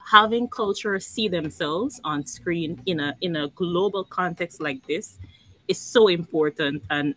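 A woman speaking earnestly on a video call panel, expressive and quick to laugh. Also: fairly low pitch, dry recording, rough recording. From Guyana. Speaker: panel guest, animation network founder.

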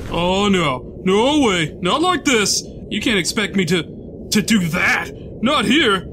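Bewildered everyman abductee, man acting, lively swooping intonation, nervous protests, noticeable noise behind him. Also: high-pitched, slow. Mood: nervous, protesting. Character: bewildered, panicky male abductee, store manager. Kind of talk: comic-book dub. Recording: clear background noise.

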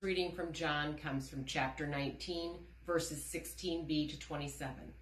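A middle-aged woman giving a measured scripture reading as a church member, recorded indoors. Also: low voice, steady tone. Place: indoor room with wooden door. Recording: roomy indoor echo.

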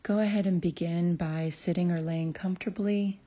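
A woman narrating a guided meditation in a calm, soothing way, with deliberate phrasing and long pauses. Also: lowish voice, highs cut off. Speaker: guided meditation host and healer.

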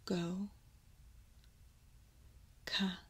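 A woman in her thirties doing a soft-spoken, partly whispered ASMR exam role play, giving slow, gentle instructions close to the microphone. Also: lowish voice, flat delivery. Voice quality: breathy, whispery. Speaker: ASMR performer playing an examiner.

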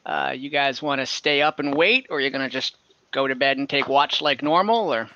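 A man working as game master, narrating a tabletop role-playing game in a casual, halting, conversational way over a video call. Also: medium pitch, bright, forceful tone, clear, resonant tone, no room sound. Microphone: computer microphone on a video call. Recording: dull, compressed online call audio.

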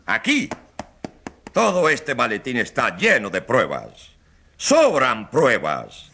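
A pompous populist politician on trial in a radio drama. He orates in sweeping, highly animated swings with a bright, forceful edge. His delivery is slow and grandiose, full of dramatic repetition and evasion. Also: full character voice. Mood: indignant, theatrical. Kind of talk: radio drama courtroom acting.

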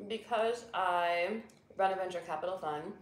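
American accent, young woman, low-ish voice, patient explainer, roomy echo. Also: normal speed, a little hiss or hum. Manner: explains simply and patiently, with fillers. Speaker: guest, venture capital investor. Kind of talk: casual chat. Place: living room indoors.

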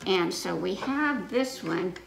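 Creaky older woman at children's story time. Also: fairly low pitch, expressive. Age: 60s or older. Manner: slow, simple, teacherly explanations with repetition. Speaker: library story time reader. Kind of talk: children's story time, reading aloud and explaining to little listeners. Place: indoor living room in front of a fireplace. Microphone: camera a few feet away. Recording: echoey room, noticeable noise, clicks.

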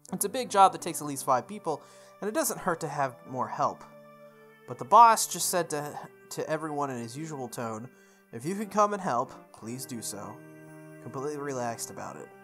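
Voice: mid-range voice, fairly bright tone. A let's play host reading a visual novel's lines aloud and chatting jokingly with viewers between them. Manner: reads the lines in character, then comments jokingly to viewers. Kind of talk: reading game text aloud with casual commentary.

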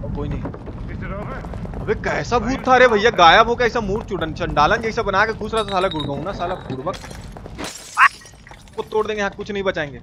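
A man's voice: high voice, lively intonation, brisk, long pauses, muffled recording. A man with a Bihari accent giving exclamatory, slangy, sweary live game commentary and greeting his chat. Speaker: game streamer. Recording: noisy, game sounds behind.

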